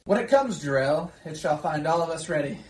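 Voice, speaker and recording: A man's voice: a little high, animated, a little fast, natural voice. A man playing a pompous, dismissive council leader in an amateur old-time radio drama. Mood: pompous, skeptical. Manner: pompous, declamatory. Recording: roomy, distant, noisy with people around.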